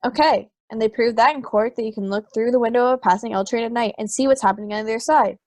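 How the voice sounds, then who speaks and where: Woman as prejudiced hot-tempered juror, higher pitch, close dry sound, amateur radio play. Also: fast, darkish tone, no echo, natural voice. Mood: insistent. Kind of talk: amateur audio drama acting.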